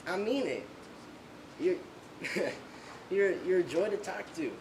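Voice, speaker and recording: Low voice, shy awkward country man played by a woman, hesitant, stammering, stage play, clear background noise. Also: lively intonation, takes it slowly. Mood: bashful, earnest. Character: shy, awkward, kind-hearted country man smitten with a girl.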